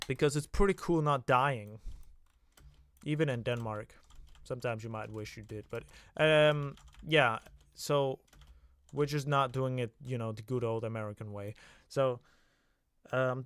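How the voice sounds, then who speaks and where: A man's voice: medium pitch, clear, resonant tone, no echo. A young man hosting a casual livestream, talking in a loose, hesitant way full of filler sounds. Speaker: livestream host.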